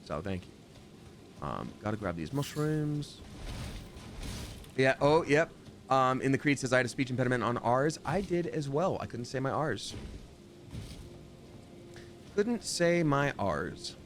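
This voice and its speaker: A man's voice: medium pitch, slight background noise. Chatty man streaming a game. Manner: chatty and rambling, with sudden excited jumps. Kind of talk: live gameplay commentary. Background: game music.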